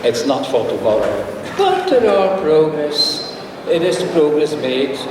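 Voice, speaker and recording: A man's voice: a little high, big pitch swings, recorded from a distance. Older man in an echoey hall. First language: Dutch. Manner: measured, slightly halting, with learned asides. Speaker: conference speaker. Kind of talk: formal conference address. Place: large conference hall. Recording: echoey hall, picked up from afar, with noise.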